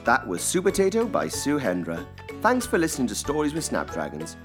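A woman's voice: a bass voice, huge pitch swings, brisk. A woman hosting a children's story podcast, reading scripted introductions and sign-offs. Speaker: podcast host. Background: music.

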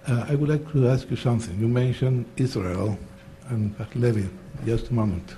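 A man's voice: mid-range voice, moderate pace, dark tone, a little hiss or hum. A man asking a polite, formal question from the audience.

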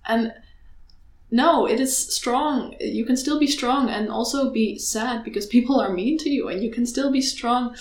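A woman's voice: on the high side, a little mellow. Earnest young woman, roomy sound. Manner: earnest, passionate, halting with many restarts. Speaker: student activist. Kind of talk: candid conversation. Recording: echoey room.

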